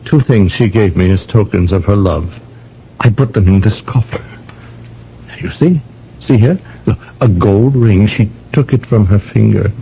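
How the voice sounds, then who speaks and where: A man's voice: lowish voice, a little hiss or hum. A man acting as an agitated, stammering young lover in a theatrical old-time radio drama. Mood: frantic insistence. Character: agitated young lover insisting his night visitor lives. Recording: dull radio drama recording with music.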